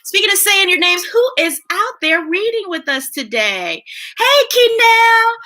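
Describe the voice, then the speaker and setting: Woman, children's story time host: bright, forceful voice, unhurried delivery, playful and expressive read-aloud with chatty asides. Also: very high-pitched. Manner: animated, gushing, playful.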